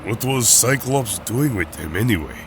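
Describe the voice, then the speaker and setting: A man as a deep, solemn Russian strongman. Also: animated, moderate pace, background noise, natural voice. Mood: sorrowful, doubtful. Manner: formal, earnest. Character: noble, solemn, gentle young Russian strongman hero. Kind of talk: audio drama acting.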